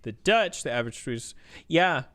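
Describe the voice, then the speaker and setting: A man's voice: medium pitch, lively intonation, moderate pace, fairly bright tone. A man in his twenties chatting casually while playing a game, rambling, swearing freely and joking about himself. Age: 20s. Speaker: streamer.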